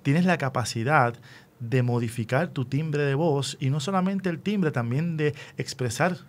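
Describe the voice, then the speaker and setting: A man's voice: a little high, a little slow. A man conducting a conversational radio interview in an encouraging, affirming way.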